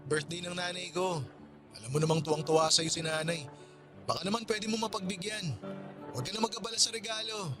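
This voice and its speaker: A man in a radio drama playing a polite male friend who keeps apologizing and warmly invites someone over. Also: high-pitched, fairly even intonation, fairly quick, music in the background, close to an everyday voice. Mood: friendly.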